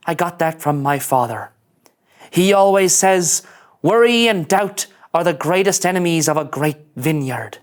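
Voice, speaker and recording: A man's voice: on the high side, lightly acted. A man at a table read playing a brooding, self-serious starship officer who narrates his log in a portentous manner. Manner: slow, portentous.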